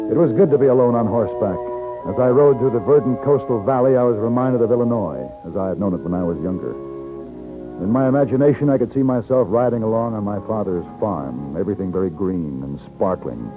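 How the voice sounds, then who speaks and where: A tinny vintage radio recording of a man playing a calm gunfighter in a Western drama. He has a low American voice and a measured, dry, unruffled delivery suited to both narration and dialogue. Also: lightly acted. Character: calm, dry-witted traveling gunfighter on horseback. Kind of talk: 1950s Western radio acting with first-person narration.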